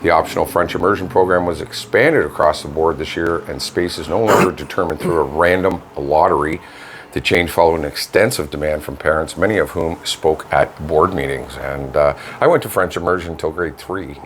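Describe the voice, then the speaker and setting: A Canadian man with a very low-pitched voice co-hosts a casual local radio show. He talks in a chatty, folksy, colloquial way. The home studio recording has a faint layer of noise behind him. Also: fairly quick. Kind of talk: casual local news chat.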